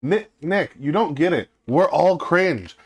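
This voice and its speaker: A man's voice: on the high side, expressive, unhurried, breathy edge. A man co-hosting a casual game stream, guiding his partner through the game, teasing and swearing freely. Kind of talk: casual gameplay chat.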